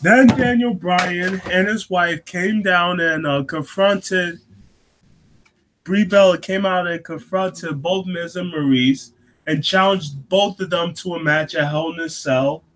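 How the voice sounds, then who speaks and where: A young man hosting a casual talk show on his own, getting over a cold and coughing now and then, halting with lots of ums and restarts. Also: sits high, a bit bright, low-quality recording. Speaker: wrestling show host.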